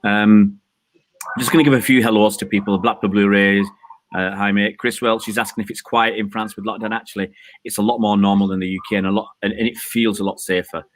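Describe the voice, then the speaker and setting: A man's voice: on the low side, little pitch movement, brisk, slight background noise. A man hosting a casual online chat, talking in a rambling, joking way with many fillers from an outdoor balcony. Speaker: host.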